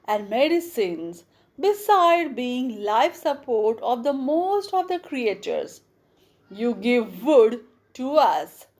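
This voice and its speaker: Woman reading as a high-voiced, earnest village son. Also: a little mellow, exaggerated voice. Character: humble, devoted young village son, earnest and kind. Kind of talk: storybook character voice.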